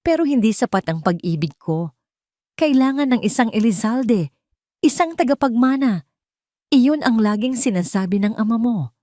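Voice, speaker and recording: Lady narrator doing bitter scheming filipina in love, theatrical, voice jumps up high, audio drama. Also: expressive, moderate pace, mellow, dry recording, clean studio sound, close to an everyday voice. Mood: bitter, desperate. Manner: emphatic, theatrical.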